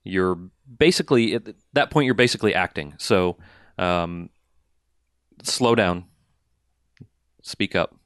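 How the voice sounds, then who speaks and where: A man with a very low voice co-hosting a podcast. He jokes and gives emphatic advice, hammering points home by repeating himself. The recording is close and dry. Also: lively intonation, slow pace, long pauses, a bit bright, smooth, no room sound. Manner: jokey, emphatic, repeats words for effect. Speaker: podcast co-host. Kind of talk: casual podcast chat.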